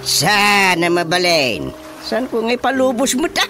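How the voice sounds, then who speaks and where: A man's voice: high voice, big pitch swings, unhurried, over music. A man playing a blustering, exclamatory village chairman in an Ilocano-accented radio comedy drama. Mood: grumbling. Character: blustering male barangay chairman, quick to exclaim.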